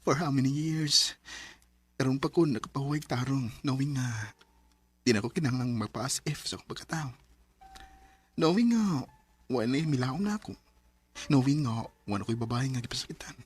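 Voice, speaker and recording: Husky, earnest man confessing love. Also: medium pitch, lively intonation, moderate pace, pauses often. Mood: earnest, relieved. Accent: Cebuano. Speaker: radio drama actor. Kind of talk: Filipino radio drama acting.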